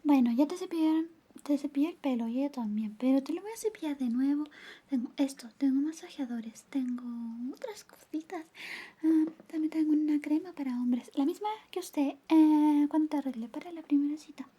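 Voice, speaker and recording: Woman acting out high, whispery, flirty best friend. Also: fairly quick, lightly acted. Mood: affectionate, flirty. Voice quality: soft, breathy whispering mixed with soft voice. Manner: coaxing, intimate, lingering. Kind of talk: Spanish ASMR roleplay, whispered personal attention.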